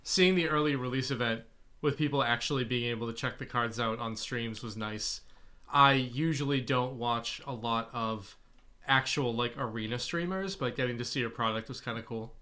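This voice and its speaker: A man's voice: mid-range voice, moderate pace, bright, forceful tone. A man with a Boston accent co-hosting a podcast, chatting in an easygoing way. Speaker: podcast co-host. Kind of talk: casual podcast chat.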